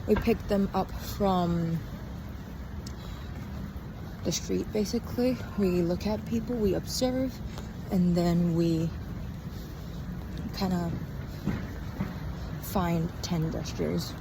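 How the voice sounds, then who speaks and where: Young female podcaster, weary and halting, unhurried casual diary ramble, clearly noisy outdoor recording with traffic. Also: on the low side. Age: young adult. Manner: halting, trailing off mid-thought. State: tired. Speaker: podcast host. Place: outdoors on a walk in a town. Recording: outdoor street noise with passing cars. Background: traffic and urban ambience.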